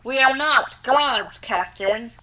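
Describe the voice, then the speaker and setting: An actress playing a proud, defiant rebel commander with a haughty, deliberate delivery in an audio drama. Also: female voice, high voice, sharp tone, heavily acted, rough recording. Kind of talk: dramatic audio comic acting.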